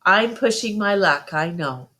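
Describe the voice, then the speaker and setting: A woman's voice: medium pitch, expressive, measured pace, pushed and bright. A woman reading the part of an earnest, stubborn eleven-year-old country boy in a storybook, pleading in folksy phrases.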